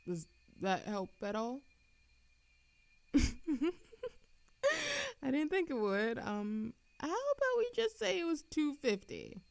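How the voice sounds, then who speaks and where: Woman voicing an ageless immortal flirt, audio roleplay, teasing, theatrical, swooping pitch, unhurried, coy. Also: very animated, vocal fry, dry recording, exaggerated voice. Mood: musing, distracted. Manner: theatrical, coy, teasing, with frequent giggles and pauses. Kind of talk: scripted audio roleplay addressed to an unheard listener.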